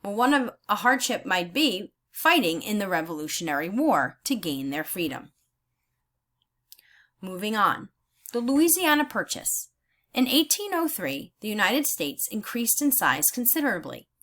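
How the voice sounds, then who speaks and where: Bright-voiced woman teaching with lively intonation. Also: medium pitch. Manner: instructional, deliberate phrasing. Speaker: teacher reading aloud. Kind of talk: read-aloud lesson with think-aloud comments.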